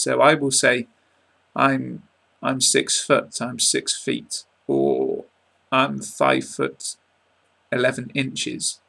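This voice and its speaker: A young English man in his twenties with an English accent, giving an online lesson to a webcam in a small room, speaking in a clear, measured teaching manner with casual asides. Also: medium pitch, bright, forceful tone. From England. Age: 20s. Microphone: webcam at close range.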